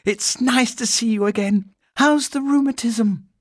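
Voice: medium pitch, unhurried, no room sound, studio quality, pitched above the performer's own voice, close to an everyday voice. A performer voicing a friendly, chatty young girl who reassures and explains eagerly in a storybook reading. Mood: cheerful greeting.

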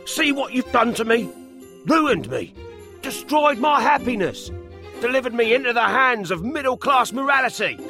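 A man playing an aggrieved, blustering, newly rich working-class man in a dramatised storybook, loudly indignant and complaining. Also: very high voice, expressive, fairly quick, soft background music, heavily acted. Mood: angry.

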